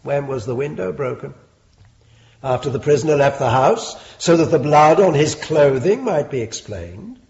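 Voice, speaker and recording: An actor playing a stern, lofty Victorian judge with an English accent, formal and measured, in a British radio drama. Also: male voice, a little high, lively intonation, creaky, a little mellow. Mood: grave. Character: stern, lofty Victorian judge presiding over a murder trial. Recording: dull, muffled lo-fi drama recording.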